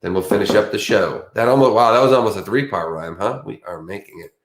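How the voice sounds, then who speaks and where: A man's voice: fairly low pitch, fast. A man with a Boston accent, sleepy and chatting in a rambling, casual way as co-host of a true crime stream. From Boston area. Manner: meandering, chatty, restarts often. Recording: roomy echo.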